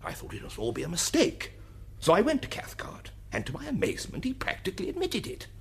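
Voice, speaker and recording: An actor playing a proud, flustered English duke testifying in a radio drama, with an upper-class English accent and a clipped, slightly husky, hesitant delivery. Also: male voice, sits high, huge pitch swings, quick pace, fairly bright tone, a little hiss or hum, heavily acted. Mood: flustered, indignant. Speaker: witness at an inquest.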